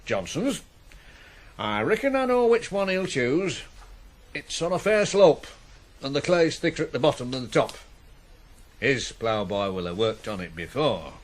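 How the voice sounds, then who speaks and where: A man's voice: medium pitch, very animated, unhurried, long pauses. A male actor playing a dry, fatherly farm foreman who cautions and teases in a radio drama. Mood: wry, cautioning. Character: wry, fatherly farm foreman mentoring a boy.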